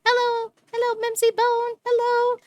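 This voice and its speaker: A hoarse, bubbly woman streamer reading game text aloud and chatting with viewers, tripping over words now and then. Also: very high-pitched, monotone, measured pace, darkish tone, dry recording, muffled recording.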